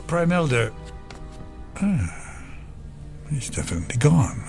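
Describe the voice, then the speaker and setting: An actor playing a sly, measured, ominous male conspirator in an audio drama. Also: mid-range voice, sing-song, darkish tone. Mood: quietly menacing. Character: sly, unhurried male conspirator plotting quietly. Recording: music under the voice.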